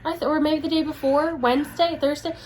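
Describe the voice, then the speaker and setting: A woman's voice: high-pitched, steady tone, a little fast, darkish tone. A woman getting over a sinus infection, co-hosting a podcast in casual chat, telling long rambling stories with restarts. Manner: rambling storyteller, restarts and asides. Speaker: podcast co-host. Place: dim office lobby, on a couch. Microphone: camera and lights set up.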